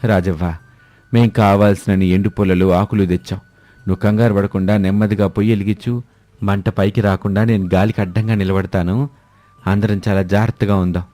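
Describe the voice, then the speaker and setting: A proud, supportive village man acted by a man in an animated moral tale. His voice is low, dark and mellow, earnest and emphatic, recorded dry. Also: a little fast, no echo, close to an everyday voice. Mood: steady, careful. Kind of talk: animated moral story dialogue.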